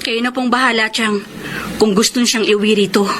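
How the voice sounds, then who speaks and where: A woman's voice: a little high, steady tone, moderate pace, natural voice. An actress playing a polite, emotional young woman working as a housemaid, in a radio drama. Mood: earnest. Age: young adult. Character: young woman, hurt but hopeful housemaid. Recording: noisy radio drama recording.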